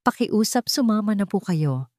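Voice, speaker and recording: A woman's voice: mid-range voice, lively intonation, moderate pace, a little mellow, dry recording, pitched above the performer's own voice, lightly acted. A senior police officer with a Filipino accent, acted out by a woman narrator. The officer is courteous, formal and unyielding, in an audio drama style with a dry, close sound. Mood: polite, insistent. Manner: formal, professional, polite.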